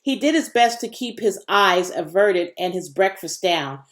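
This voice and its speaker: A woman reads a children's novel aloud in a mid-pitched voice. Her delivery is dramatic and varied, leaning into key words as an audiobook storyteller does. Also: expressive, measured pace. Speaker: audiobook reader.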